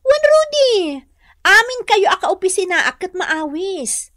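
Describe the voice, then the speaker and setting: A woman's voice: very high-pitched, very animated, takes it slowly, no echo, studio quality, heavily acted. A woman with a Filipino accent playing a vain, scolding young working daughter in a radio drama. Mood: boastful, scornful. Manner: sharp, scornful, boastful. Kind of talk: Ilocano radio drama acting.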